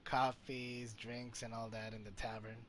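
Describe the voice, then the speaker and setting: A man's voice: medium pitch, level tone, unhurried, over a noisy crowd. A man giving casual, chatty live commentary as he streams a video game.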